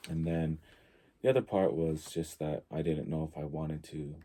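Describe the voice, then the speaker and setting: A man in his early forties from Tonga, talking casually and reflectively as a podcast guest, halting as he thinks aloud. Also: extremely low pitch, steady tone, mellow. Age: early 40s.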